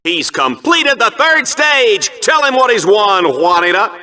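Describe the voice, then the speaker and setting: An actor playing a smug, hammy announcer of a sci-fi arena show in a video game, with a showy, mock-dramatic delivery. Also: male voice, high-pitched, very animated, unhurried, bright, forceful tone, exaggerated voice. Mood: showy, hyped. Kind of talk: bombastic sports-show commentary in a video game.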